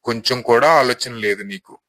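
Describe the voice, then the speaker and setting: A man acting as a stern shopkeeper father in a moral tale. He scolds indignantly in a sharp, bright voice of medium pitch, recorded dry. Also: normal speed, no echo, natural voice. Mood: scolding. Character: stern, indignant father, a village shop owner.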